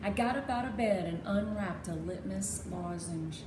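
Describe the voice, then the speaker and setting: A woman narrating a children's chapter book aloud in an expressive storybook style. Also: medium pitch, moderate pace. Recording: echoey room with clear background noise.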